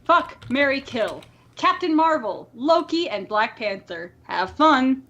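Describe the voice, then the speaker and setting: A woman hosting a podcast in casual chat, telling things in an animated way and acting out her own thoughts. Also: high-pitched, slow, highs cut off. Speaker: podcast host.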